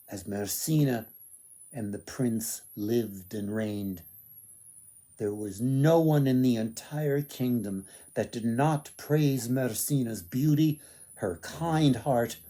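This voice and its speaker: Slow, husky male folktale storyteller. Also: medium pitch, mellow. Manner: theatrical, deliberate phrasing with emphatic repetition. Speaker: author, storyteller.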